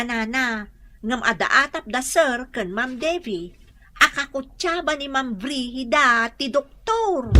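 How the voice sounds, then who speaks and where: A young woman with an Ilocano accent playing a house helper in a radio drama, answering briskly and respectfully. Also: high-pitched. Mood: urgent. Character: eager young maid in a big house.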